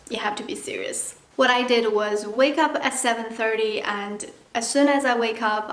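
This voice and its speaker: Chatty Chinese woman in an echoey room. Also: on the high side. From China. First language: Mandarin. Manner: chatty and candid, acts out playful asides. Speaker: language-learning vlogger. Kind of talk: casual talk to camera.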